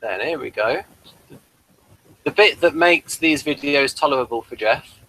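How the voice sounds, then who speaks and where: A man hosting a casual review chat in a mild, understated and somewhat halting way. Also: a little high, expressive, sharp tone, poor audio. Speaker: host of a review show.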